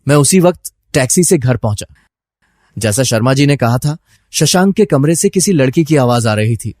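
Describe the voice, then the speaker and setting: A man's voice: medium pitch, animated, normal speed, a little mellow, no echo, studio quality, lightly acted. A man acting as a troubled, suspicious husband who narrates his own story in a dramatized first-person style.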